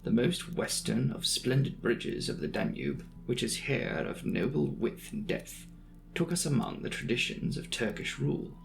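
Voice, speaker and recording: A man's voice: mid-range voice, lively intonation, moderate pace, vocal fry. A man voicing an earnest, inquisitive young traveller reading out his journal in a precise, matter-of-fact way for a dramatic audiobook. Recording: music behind the voice.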